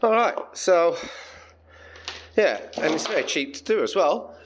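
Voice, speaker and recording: A man's voice: a little high, lively intonation, a little slow, background noise. An Australian man giving a casual, chatty hands-on tech demonstration, thinking aloud as he handles his gear. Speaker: amateur radio hobbyist presenting a demonstration.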